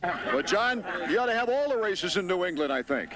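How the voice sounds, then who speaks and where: A man's voice: sits high, big pitch swings, moderate pace, over music. A man working as a trackside reporter, conducting a post-race interview. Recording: loud crowd behind outdoor interview. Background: crowd at race track.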